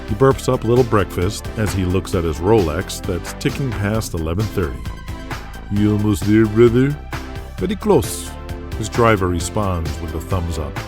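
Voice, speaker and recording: A man's voice: low-pitched, normal speed, few pauses. A man reading scripted, dramatic present-tense narration as the storyteller of an audio drama. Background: music.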